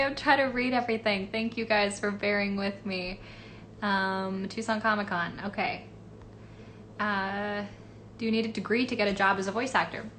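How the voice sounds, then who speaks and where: A woman's voice: mid-range voice, fairly bright tone, a little hiss or hum. A young woman from Los Angeles, a voice actress casually answering fan questions on a live stream, reading comments aloud with lots of fillers.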